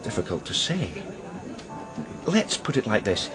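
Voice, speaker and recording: Guarded, expressive male art dealer. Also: fairly low pitch, music in the background. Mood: informative, curious. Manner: deliberate, euphemistic, hesitant. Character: male art dealer George, heartbroken, guarded, discreet old friend. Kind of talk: naturalistic radio crime drama acting.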